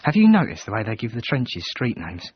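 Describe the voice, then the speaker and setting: An actor playing a wistful, devoted English soldier reciting a letter from the front, husky, reflective and measured, in a radio drama. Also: male voice, medium pitch, wildly swooping pitch, lo-fi sound.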